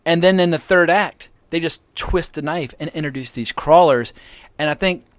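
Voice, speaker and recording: A man's voice: a little high, normal speed, fairly bright tone, no room sound. An American man co-hosting a casual film discussion, speaking in an articulate, emphatic and enthusiastic way. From United States, near the Appalachian Mountains. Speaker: guest co-host, film podcaster.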